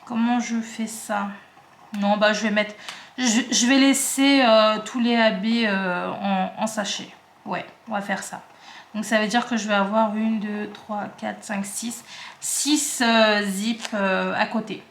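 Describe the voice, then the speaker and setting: A woman's voice: mid-range voice, steady tone, takes it slowly, roomy sound, slight background noise. A woman in her twenties from the Paris suburbs, chatting casually and rambling while she sorts her craft supplies at home. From Paris suburbs, France. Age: 20s. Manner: chatty, rambling, self-interrupting. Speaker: craft vlogger.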